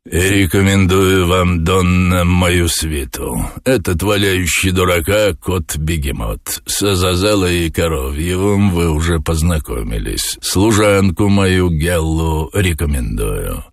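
A man voicing a weary, commanding lord of darkness in an audiobook, brooding, slow and imperious with quiet menace. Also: deep voice, animated, no echo, clean studio sound, exaggerated voice. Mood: stately. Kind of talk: audiobook character voice.

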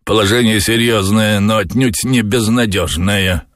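A man's voice: on the low side, a little slow, a bit bright, no echo, clean studio sound, voice pushed up. A man voicing a theatrical talking tomcat in an audiobook, a wheedling chess cheat full of fussy, falsely anxious indignation. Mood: blustering confidence. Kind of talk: audiobook character voice.

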